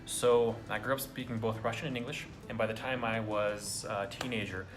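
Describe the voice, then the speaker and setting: A man's voice: lowish voice, steady tone, normal speed. Young american guy vlogging, earnest and encouraging, lots of ums, faint music behind. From United States. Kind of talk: casual talk to camera.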